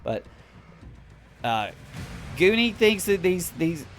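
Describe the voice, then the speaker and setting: A man's voice: high voice, very animated, takes it slowly, faint music behind. Tired, rambling man reviewing a game. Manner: rambling, profane, many restarts and fillers. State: tired, late at night. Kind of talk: casual spoken game review.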